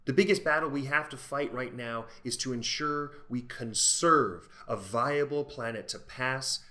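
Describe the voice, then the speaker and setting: A man's voice: medium pitch, a little slow, pushed and bright. A man playing a principled environmentalist debater, earnest and declarative, in a scripted dialogue. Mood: firm. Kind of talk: scripted stage-style dialogue.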